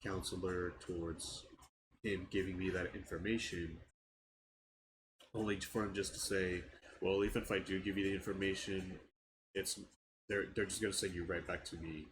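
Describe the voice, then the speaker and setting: A frustrated young man with a very low voice vents in a halting, casual way, often breaking off and restarting his sentences. His nose sounds stuffed up, and a clear hiss of background noise sits under his voice. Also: little pitch movement. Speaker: channel owner giving an update. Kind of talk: casual personal vlog rant.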